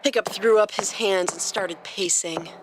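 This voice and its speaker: A woman's voice: mid-range voice, a little slow, background noise. A woman hosting a podcast and narrating a story expressively from a script.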